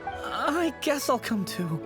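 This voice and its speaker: An actor voicing a weary young man in a game, flat and resigned, often sighing. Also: very high-pitched, slow, over music, exaggerated voice. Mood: glum. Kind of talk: game voice acting.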